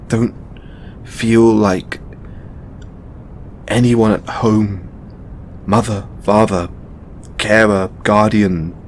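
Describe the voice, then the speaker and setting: A man playing a weary, burdened god in an intimate audio roleplay, speaking haltingly with sighs and long pauses. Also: fairly low pitch, a little hiss or hum. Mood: burdened, resigned. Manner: halting, sighing, with drawn-out pauses. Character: weary, burdened male god confiding in his lover. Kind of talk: audio roleplay monologue, intimate dramatic acting.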